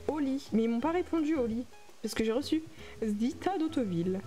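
A woman's voice: high-pitched, expressive, a little fast, warm tone, soft background music. A young adult woman streaming a game, chatting casually and reading and answering her viewers' messages aloud. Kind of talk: casual livestream chat while playing a game.

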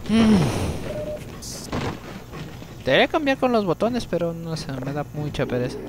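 A young man giving chatty gameplay commentary, talking to himself, swearing at the game and breaking into sudden yells. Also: a little high, sing-song, fairly quick, heavy background noise. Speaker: let's play gamer.

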